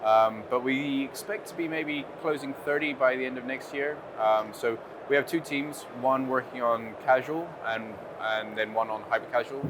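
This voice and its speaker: A man's voice: medium pitch, steady tone, normal speed, few pauses. A British man whose first language is Dutch, answering interview questions conversationally and hesitantly, with many filler phrases. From United Kingdom. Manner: hesitant, with frequent "you know". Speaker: interview guest, game studio general manager. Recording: clear background noise, busy venue ambience.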